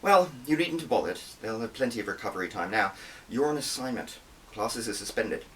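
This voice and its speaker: A man's voice: lowish voice, expressive, a little fast, a bit bright, far from the mic, voice pushed up. Brusque intelligence boss, acted by a man: curt, bossy, disapproving, for an audiobook; faint background noise. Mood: brisk, urgent. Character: brusque, disapproving male intelligence department head.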